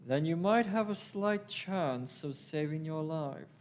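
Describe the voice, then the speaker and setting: A man's voice: on the high side, measured pace, slight background noise, natural voice. A man playing a cold alien villain in a radio play, formal, commanding and threatening. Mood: cold menace. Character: cold, menacing alien villain serving the Daleks. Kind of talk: live radio-play acting.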